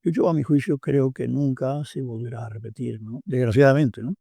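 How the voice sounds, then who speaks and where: An older man with a Tangier Spanish accent, his voice very dark and mellow. He speaks in a reflective, hesitant way full of pauses and fillers. The recording is close and dry, like a radio studio. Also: medium pitch, creaky, husky, no echo. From Tangier, Morocco. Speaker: author and guest. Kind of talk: radio interview.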